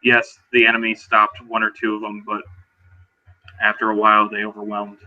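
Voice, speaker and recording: A man playing a weary, guarded but determined werewolf survivor in a tabletop roleplay, speaking haltingly and low-key. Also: completely flat delivery, bright, forceful tone, dull audio. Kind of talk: in-character tabletop roleplay dialogue.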